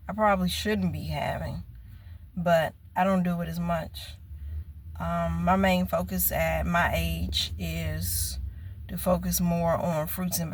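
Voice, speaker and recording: A woman in her fifties talking slowly and earnestly to her viewers in a casual personal vlog. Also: on the low side. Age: 50s. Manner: slow, reflective, earnest. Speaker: vlogger.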